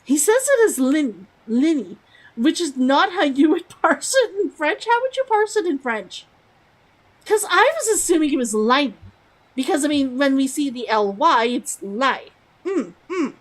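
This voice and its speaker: A woman's voice: high-pitched, very animated, a little slow, poor audio. A young woman streaming a game, chatty and giggly, repeating words aloud to test how they sound. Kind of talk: live game commentary.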